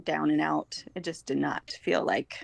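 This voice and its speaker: A woman's voice: deep voice, measured pace, vocal fry, no room sound. A woman speaking as a podcast guest in an informal, hesitant chat, often dropping "like" into her sentences. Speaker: podcast guest, homeschooling mother. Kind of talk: casual chat.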